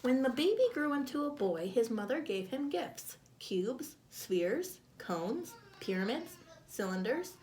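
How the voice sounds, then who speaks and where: Lively high-voiced woman reading to children. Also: measured pace, a little hiss or hum. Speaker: children's storybook reader. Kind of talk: read-aloud of a picture book for kids.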